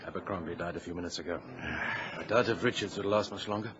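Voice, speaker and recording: Deep-voiced uneasy ship's mate, played by a man. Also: fast, lightly acted. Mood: grave. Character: deferential, uneasy ship's mate reporting to his captain. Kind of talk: old-time radio drama acting. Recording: muffled, noisy vintage radio recording.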